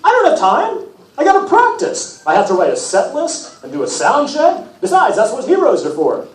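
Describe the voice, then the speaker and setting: A man's voice: very high-pitched, huge pitch swings, moderate pace, pitched above the performer's own voice, heavily acted. A man reading a storybook and voicing a vain, demanding god of music who talks in slangy, self-important fashion. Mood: petulant. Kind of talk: storybook character read aloud. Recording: roomy, distant, noisy event recording.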